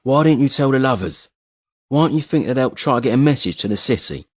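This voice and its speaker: A man's voice: medium pitch, expressive, quick pace, no echo, clean studio sound, voice pushed up, close to an everyday voice. A man voicing an uneasy, stubborn adult man in an audiobook, insistent but unsure. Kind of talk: audiobook character voice.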